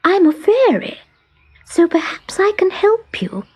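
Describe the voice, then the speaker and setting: A woman's voice: extremely high pitch, big pitch swings, measured pace, a little mellow, pitched above the performer's own voice, exaggerated voice. A woman voicing a small, earnest, kindly young fairy girl in a children's storybook, with an eager, exclaiming manner. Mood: bright, friendly.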